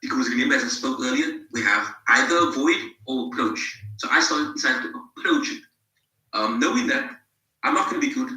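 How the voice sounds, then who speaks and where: A man's voice: mid-range voice, pushed and bright, roomy sound, far from the mic, low-quality recording. A man in his thirties with a South African accent, telling his own story as a podcast guest, reflective and restarting his phrases. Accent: South African English. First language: English, bilingual with Afrikaans. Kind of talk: personal storytelling in an interview.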